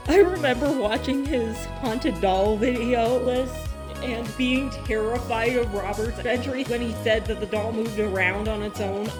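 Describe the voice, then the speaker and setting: A woman hosting her storytelling channel, talking in a chatty, informal way. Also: high-pitched. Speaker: channel host and story narrator. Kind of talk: dramatic horror reading, then casual chatty outro.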